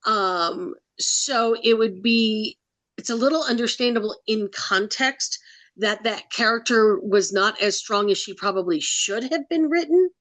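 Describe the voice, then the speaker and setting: A woman co-hosting a casual podcast, giving measured opinions and weighing what she liked and didn't. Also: fairly high pitch, fairly bright tone, dry recording. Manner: gives measured opinions, weighing her views. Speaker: podcast co-host.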